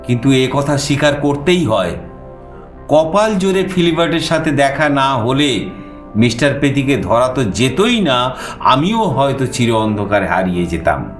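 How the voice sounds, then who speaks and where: A male storyteller narrates in the first person with an expressive, very varied pitch. His voice is mid-range and echoes a little, with faint music behind it. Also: unhurried.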